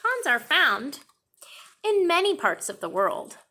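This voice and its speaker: A young woman teacher reading a picture book aloud for children in a classroom, pausing to explain words and pages. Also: high-pitched. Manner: slow, expressive storytime reading with explanations.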